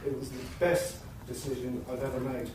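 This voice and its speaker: A man reading a victim statement in a measured, defiant, bitterly sarcastic manner. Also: medium pitch, flat delivery, a little mellow. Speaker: attack survivor giving a victim impact statement. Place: courtroom. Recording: very echoey courtroom from a distance, faint noise.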